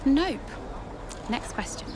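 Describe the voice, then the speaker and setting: A woman's voice: slow, close to an everyday voice. A woman playing a defiant young racing driver, curt and sardonic, in a noisy outdoor press scrum.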